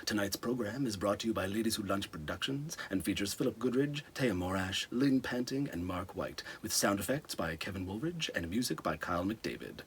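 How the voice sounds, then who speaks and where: A man plays an old-time radio horror host with a low voice. He announces the show formally and theatrically, with menace in his delivery. There is faint noise behind him. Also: expressive, a little fast, few pauses. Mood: ominous, formal. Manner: formal, dramatic.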